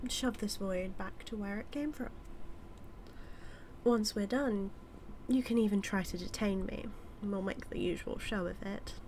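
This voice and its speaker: A British-accented woman playing a smug, manipulative evil magician in a scripted audio roleplay, teasing and taunting with deliberate, drawn-out phrasing. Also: medium pitch, darkish tone, slight background noise, close to an everyday voice. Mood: coolly persuasive.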